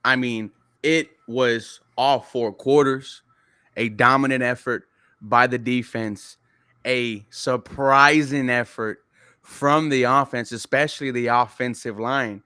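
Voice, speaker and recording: Hyped young man, bright voice. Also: medium pitch, steady tone, clean tone, no room sound, studio quality. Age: young adult. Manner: hyped, emphatic, plugs sponsors in a fast read. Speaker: podcast host. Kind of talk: casual sports podcast chat.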